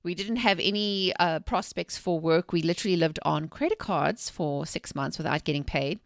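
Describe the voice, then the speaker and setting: A South African woman in her forties, a mindset coach telling her story in a lively, rambling interview. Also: fairly low pitch, moderate pace, vocal fry, dry recording, clean studio sound. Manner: energetic, rambling with restarts and laughs.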